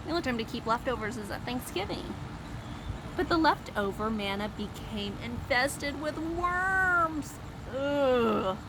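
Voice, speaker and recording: A woman's voice: high voice, lively intonation, a little slow, noisy background. A woman hosting a Bible story time for young children, explaining simply and expressively with questions and asides.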